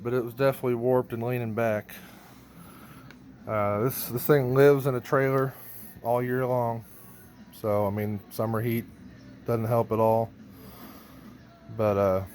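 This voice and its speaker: A man's voice: mid-range voice, a little mellow, slight background noise. A man narrating a hands-on gear vlog in a casual, informal way, thinking aloud as he works. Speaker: band member showing a PA rack rebuild.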